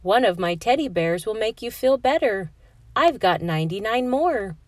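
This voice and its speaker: Woman voicing proud, lively young animal pupil. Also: mid-range voice, moderate pace, no room sound, pitched above the performer's own voice, close to an everyday voice. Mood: earnest.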